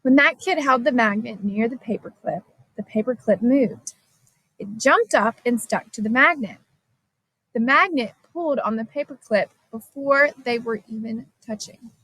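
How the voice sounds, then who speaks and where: A woman reading a children's science story aloud as scripted narration, expressive and clearly phrased. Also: a little high, normal speed, rough recording. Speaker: storybook reader.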